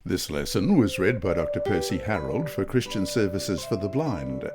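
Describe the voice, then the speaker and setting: A man from Queensland, reading a Bible study lesson aloud in a measured way and slowing for quoted scripture. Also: low-pitched, big pitch swings, over loud music. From Queensland, Australia.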